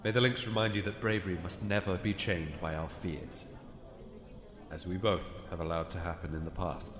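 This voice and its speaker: Echoing low-voiced noble ancient leader, played by a man. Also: steady tone, natural voice. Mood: solemn gratitude. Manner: solemn, measured. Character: Alexander, humble, honorable ancient Greek leader. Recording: echoey reverberant hall, crowd murmur.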